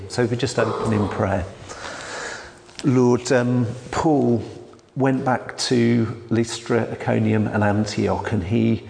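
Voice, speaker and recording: Quiet gravelly preacher in a hall. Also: male voice, fairly low pitch, slight background noise. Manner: quiet, hesitant, with frequent restarts. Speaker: church elder preaching. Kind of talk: sermon. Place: church hall. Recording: long hall reverb.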